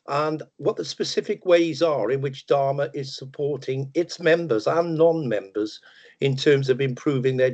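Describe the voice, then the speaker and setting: An older man with a Welsh accent giving a relaxed, joking presentation over a video call, with plenty of ums and uhs. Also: mid-range voice. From Wales. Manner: relaxed, chatty, self-deprecating humour with many ums and uhs. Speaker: webinar presenter. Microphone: video call microphone. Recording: muffled video call audio.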